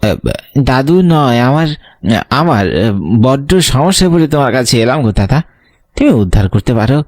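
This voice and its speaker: A man's voice: mid-range voice, expressive, normal speed, darkish tone, no room sound, close to an everyday voice. A man playing an earnest young student, the wronged younger brother, in a horror audio story. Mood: hesitant, pleading.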